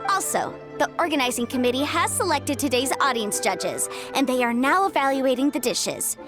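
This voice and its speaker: A bright, courteous young woman in a game cutscene. Her voice is very high and lively, with earnest delivery, over music. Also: moderate pace. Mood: formal, courteous. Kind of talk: video game cutscene voice acting.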